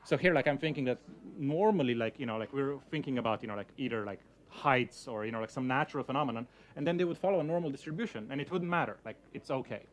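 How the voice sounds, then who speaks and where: A man's voice: medium pitch, fast, hardly any pauses. Slovenian man giving a rambling talk. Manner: hedging, many fillers like "you know, like". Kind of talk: casual conference talk.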